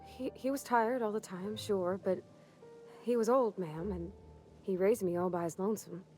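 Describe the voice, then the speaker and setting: A woman's voice: medium pitch, normal speed, a little mellow, soft background music, natural voice. A woman voicing a humble, sincere young small-town woman in a video game, speaking politely in a hesitant, folksy way. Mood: defensive, subdued. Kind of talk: video game dialogue acting.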